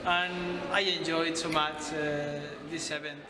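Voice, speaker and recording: High-pitched Spanish man in loud noise. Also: little pitch movement, slow. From Spain. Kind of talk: casual testimonial to camera. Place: indoor gallery stairwell. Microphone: camera mic at a few feet. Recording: echoey hall with loud noise.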